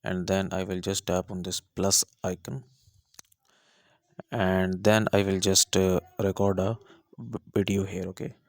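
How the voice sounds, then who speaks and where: A man's voice: low-pitched, flat delivery, measured pace, no room sound, studio quality. A man hosting a step-by-step app tutorial, explaining each tap plainly with fillers like "okay" and "uh". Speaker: tutorial host. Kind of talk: screen-recorded app tutorial.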